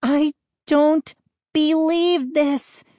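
A shrill young girl in a children's audiobook, acted out by a woman, frightened and full of outrage. Her voice sits very high and she exclaims indignantly, in a close, dry recording. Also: fairly even intonation, extremely slow, a little mellow, dry recording. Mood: outraged shrieking. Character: frightened, outraged girl, the narrator's shrieking sister. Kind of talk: audiobook character voice.

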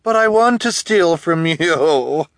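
A man acts out a cruel and vain woman from a storybook, pitched high. She gloats theatrically, drawing out her words with mocking relish and big swings in pitch. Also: animated, slow, fairly bright tone, dry recording, clean studio sound, heavily acted. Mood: smug, scornful.

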